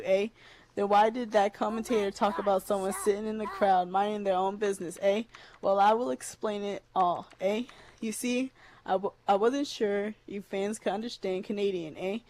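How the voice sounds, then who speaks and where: A woman's voice: mid-range voice, darkish tone, lightly acted. A woman voicing a perky, fake-sweet Canadian wrestling champion, tagging "eh" onto nearly every sentence.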